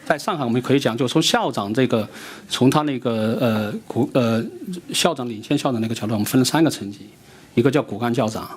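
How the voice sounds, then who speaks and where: Fast-talking man with a husky voice. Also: mid-range voice. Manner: speaks in short bursts, pausing for translation. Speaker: visiting education expert answering questions. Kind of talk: answering a question through an interpreter. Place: lecture room.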